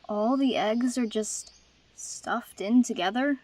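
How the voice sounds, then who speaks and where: Woman acting a young female dragon, higher-pitched, sarcastic, wounded pride, guarded, fantasy dub. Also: takes it slowly, a little mellow. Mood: indignant. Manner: dry, guarded. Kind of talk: dubbed fantasy drama acting.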